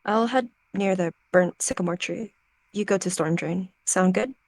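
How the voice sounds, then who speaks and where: A woman's voice: on the low side, normal speed, a little mellow, dry recording. A woman voicing an independent, agreeable she-cat in a game roleplay, with a casual manner.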